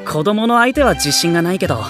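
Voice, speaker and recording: A woman's voice: lowish voice, expressive, fast, music in the background, close to an everyday voice. A woman playing a polite, easygoing teenage boy hero in an anime audio drama, speaking casually and considerately. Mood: modest.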